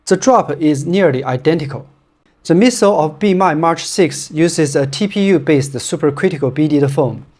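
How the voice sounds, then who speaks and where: Brief: male non-native English speaker, Chinese accent, scripted gear reviewer, steady and direct. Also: a little high, normal speed. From China. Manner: plain, straightforward, steady delivery. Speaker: running shoe reviewer.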